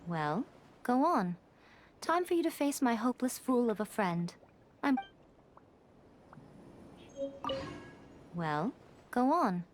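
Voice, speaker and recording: A woman voicing a sly, elegant fox shrine priestess in an anime game, speaking in a silky, coy, measured and teasing manner. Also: fairly high pitch, sing-song, slight background noise. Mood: wry. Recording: music behind the voice.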